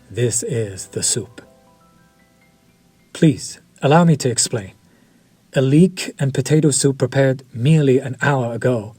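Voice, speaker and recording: An actor playing a courteous, quietly predatory vampire chef in an audio roleplay, polished, formal and flirtatious. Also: male voice, mid-range voice, breathy edge. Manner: polished, formal and flirtatious, lingering over words.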